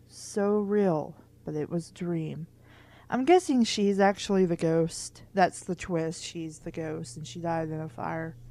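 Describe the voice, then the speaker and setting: A woman's voice: fairly low pitch, mellow. A woman reading a children's book aloud in an informal way, stumbling over words at times and adding wry comments of her own. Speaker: host of a book reading series.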